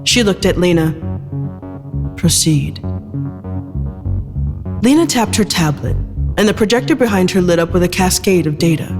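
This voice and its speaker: A male narrator reads dramatic fiction in a high-pitched voice. His words carry a long reverb, with clearly audible guitar music behind them. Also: animated, fast.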